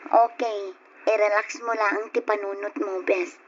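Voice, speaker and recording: An actress playing a caring best friend who gives reassuring, practical advice, on an old lo-fi radio drama recording. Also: female voice, mid-range voice, level tone, measured pace, a little hiss or hum, lightly acted.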